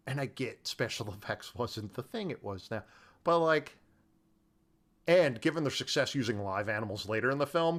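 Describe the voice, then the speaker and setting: A man's voice: medium pitch, a bit bright. Casual, joking man co-hosting a podcast. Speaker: podcast co-host. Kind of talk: casual film discussion.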